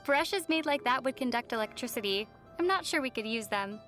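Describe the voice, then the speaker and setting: A woman's voice: fairly high pitch, expressive, brisk, sharp tone. A woman playing a young, sensible traveler girl in a video game, with a wry, teasing manner. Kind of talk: video game character dialogue. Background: music.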